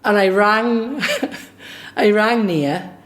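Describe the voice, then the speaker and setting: A woman from Swansea with a Welsh accent, a singer and songwriter recounting a personal story in a lively, anecdotal way. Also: mid-range voice, drawn-out words. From Swansea, Wales. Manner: lively anecdotal, acts out quoted dialogue.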